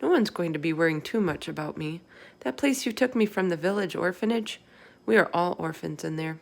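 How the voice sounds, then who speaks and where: A woman's voice: lowish voice, brisk, creaky. A woman voicing a small, plucky, inquisitive orphan girl in a storybook, speaking in a matter-of-fact way.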